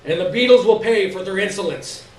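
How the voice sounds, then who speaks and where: A man's voice: high-pitched, animated, fairly quick, pitched above the performer's own voice. A man voicing an indignant, solemn uncle with a pompous, emphatic delivery, as part of a story reading. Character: indignant, solemn Filipino uncle plotting petty revenge. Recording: echoey room, distant mic, faint noise.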